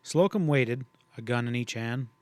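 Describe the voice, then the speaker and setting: A man narrating a classic western novel as an audiobook, in a steady, even storytelling read. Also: mid-range voice, moderate pace, no room sound. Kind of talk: scripted narration.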